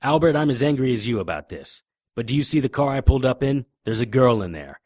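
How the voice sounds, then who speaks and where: An actor playing a deadpan, hardboiled private investigator, narrating and speaking in a noir audio drama. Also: male voice, medium pitch, fast, dry recording, clean studio sound, close to an everyday voice. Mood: calm, pressing. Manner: deadpan, wisecracking.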